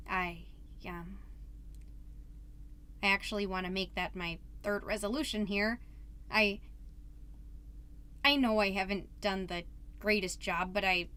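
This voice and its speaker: A woman's voice: mid-range voice, fairly bright tone. A woman playing an earnest, anxious suburban mom podcaster in a rambling, self-doubting comedic monologue that tends toward grand declarations. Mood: earnest, faltering. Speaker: podcast host character.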